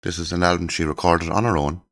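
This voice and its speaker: A middle-aged man, croaky from a cold, chatting casually and with enthusiasm as he shows his CD collection. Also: sits low, steady tone, fast. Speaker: channel host showing his CD collection. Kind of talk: casual chat to camera.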